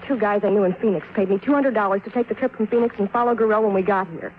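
A woman acting a streetwise, desperate woman caught up in crime, pleading her case in 1950s radio drama style. She talks fast and urgently, through a thin, phone-like vintage recording. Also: mid-range voice, slight background noise, lightly acted. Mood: defensive, agitated. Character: desperate, defensive small-time woman mixed up in crime.

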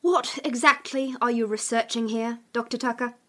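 A woman's voice: a little high, fairly even intonation, moderate pace, sharp tone. A woman playing a dry, prim skeptic in an audio drama, questioning with disdain. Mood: dry, skeptical. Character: dry, skeptical stranger questioning a monster hunter.

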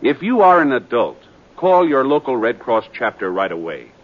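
Formal American announcer on muffled old radio. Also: male voice, mid-range voice, very animated. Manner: formal, earnest, measured enunciation. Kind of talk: 1950s scripted radio announcing and appeal. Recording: dull vintage radio broadcast recording.

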